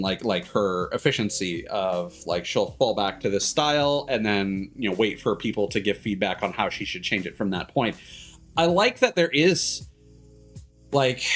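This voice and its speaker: Male livestream host, lower register, loose halting chat, light music in the background. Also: a bit bright. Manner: rambling, halting, backtracking mid-sentence. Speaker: streamer host. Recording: music playing behind the voice.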